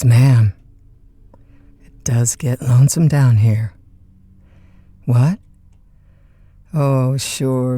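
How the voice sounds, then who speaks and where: A woman's voice: extremely low pitch, dark tone, slightly rough, full character voice. A woman playing a chatty, lonesome overnight disc jockey in an audio drama, with a folksy, polite, hesitant conversational manner. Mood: wry, weary. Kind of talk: naturalistic audio drama acting, one side of phone calls.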